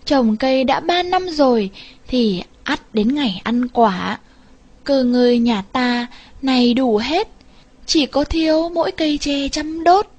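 A woman's voice: high-pitched, takes it slowly, darkish tone, dull audio. A woman voicing a scheming rich old man, sly and falsely friendly, in a fairy tale.

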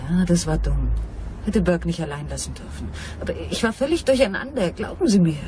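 An actress playing a mysterious swindler who pleads and justifies herself, earnest and dramatic, in in a crime radio drama. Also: female voice, on the low side, sing-song, a little fast, a crowd in the background. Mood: confessing, regretful. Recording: radio play with clear vehicle and ambient noise.

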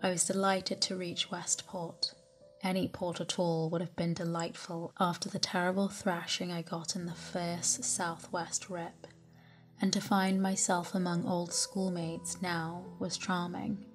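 A woman narrating a classic sailing memoir as a sleep story, reading slowly and softly and dropping into whispers at times. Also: fairly low pitch. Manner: slow, soft, calm reading with whispered stretches. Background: soft music.

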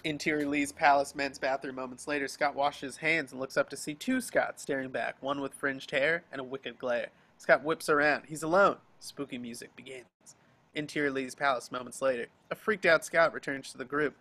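A man's voice: medium pitch, steady tone, normal speed, pushed and bright. A man narrating scripted action and scene headings for an amateur table read. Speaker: stage-direction reader. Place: home, group video call.

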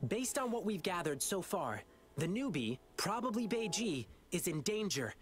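A man's voice: high-pitched, very animated, normal speed. A man playing a kind, earnest youthful adventurer in a video game, speaking carefully. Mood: thoughtful. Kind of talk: video game dialogue acting. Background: game music.

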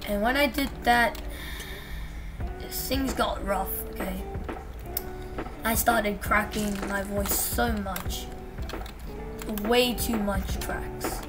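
A young woman with a slightly husky voice tells a casual story while playing a game, breaking off to react to it. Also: medium pitch, steady tone, very slow, pauses often. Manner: chatty, reacts aloud to the game mid-story. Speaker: gamer telling a story. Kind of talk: casual storytime over gameplay. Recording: distant mic under loud music. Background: game music and keyboard typing.

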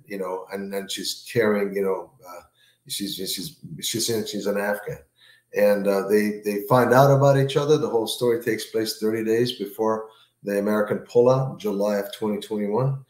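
Author guest, male, 60s, casual and rambling, lowish voice, roomy video-call audio. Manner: easygoing, many fillers and repeated words. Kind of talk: casual interview chat. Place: home room with plant, indoors.

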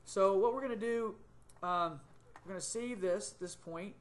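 A middle-aged man teaching a 3D modeling tutorial on screen, thinking aloud with many ums and quick self-corrections, recorded on a desktop webcam mic in a small room. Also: sits high. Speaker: software tutor.

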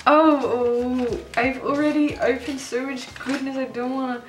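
A young woman whose first language is Dutch, chatting excitedly in English as she opens mail at her desk at home, often breaking off and restarting. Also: high voice, slow pace. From Netherlands. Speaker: stationery vlogger. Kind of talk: casual unboxing chat.

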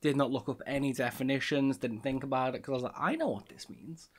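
A middle-aged man hosting an interview show, his voice sitting at an ordinary, middle pitch. He talks loosely and jokes at his own expense, filling gaps with "like" and "you know". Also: fast talker, breathy edge. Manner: chatty, self-deprecating, lots of "like, you know". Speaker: podcast host. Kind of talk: casual interview talk.

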